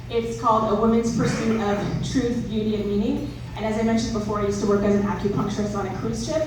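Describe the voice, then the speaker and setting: A woman acupuncturist who grew up in Southern California, chatty and upbeat, laughing often as she explains procedures step by step to a small audience. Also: on the high side. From born in Israel, grew up in Southern California. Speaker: acupuncturist and naturopathic doctor. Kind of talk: casual presentation and demonstration. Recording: echoey room, voice from a distance, noisy.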